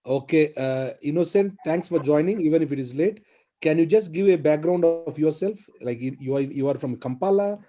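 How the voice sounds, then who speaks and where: A man's voice: on the high side, moderate pace, mellow, soft background music. A man hosting an online training webinar, encouraging the attendees and repeating words of praise, with an African English accent. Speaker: webinar host. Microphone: video call.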